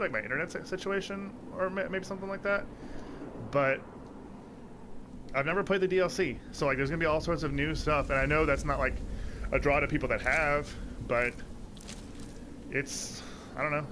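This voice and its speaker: A man in his twenties giving casual, rambling commentary over gameplay, full of "like" fillers and jumping up in pitch when excited. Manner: rambling, heavy use of "like" fillers. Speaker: let's play commentator. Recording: steady noise behind voice.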